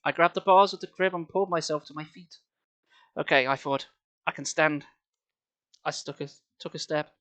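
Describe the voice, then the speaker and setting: A man's voice: on the high side, moderate pace, long pauses, sharp tone. A man reading a story aloud on a live stream, theatrical and playful, with casual asides.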